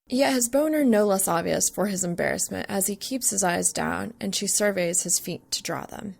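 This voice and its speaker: Creaky-voiced woman narrating a story. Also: medium pitch, moderate pace. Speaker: fan fiction narrator. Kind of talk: expressive scripted story narration.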